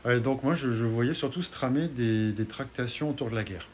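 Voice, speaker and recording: A man's voice: mid-range voice, a little fast, a little hiss or hum. A man who does soul healing, telling one session's story to his online followers in a hesitant, casual way with many fillers and restarts. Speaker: soul healer sharing a session. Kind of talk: casual spoken testimony.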